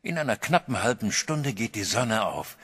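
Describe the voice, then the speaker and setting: A man's voice: fairly low pitch, big pitch swings, moderate pace, fairly bright tone, breathy edge, no echo, studio quality, heavily acted. A stern old farmer grandfather. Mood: hushed. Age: older. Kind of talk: radio drama acting.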